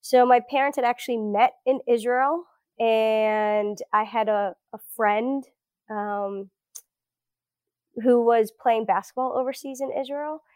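A woman's voice: fairly high pitch, fairly even intonation, slow pace, darkish tone, smooth, no echo, clean studio sound. An American woman in her thirties chatting reflectively as an interview guest, telling her story in a casual way. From South Florida, US. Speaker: podcast guest, academic. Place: at home indoors.

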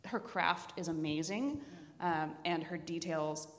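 A woman with a lowish voice speaks as a podcast host recommending books. Her delivery is informal and blunt, with clear enthusiasm for what she describes. Long reverb places her in a hall. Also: a little slow. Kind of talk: casual chat before a live group.

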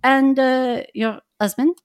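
A woman's voice: high-pitched, steady tone, slow, smooth. A woman voicing an earnest, effusive old Dutch professor who speaks in broken, formal English. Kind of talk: reading a novel aloud in roles.

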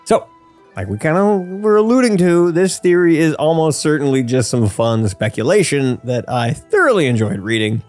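A man, probably in his thirties, hosting a casual podcast chat in a jokey, playful, animated manner. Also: on the high side, normal speed, faint music behind. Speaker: podcast host. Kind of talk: casual podcast chat about game lore.

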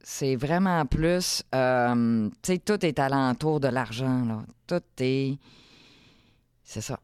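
A woman's voice: extremely low pitch, slow, darkish tone, clear, resonant tone, no room sound. Middle-aged Quebec woman, informal and dry-miked. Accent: Quebec French. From Quebec, Canada. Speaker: podcast guest, entrepreneur. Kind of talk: casual conversational interview.

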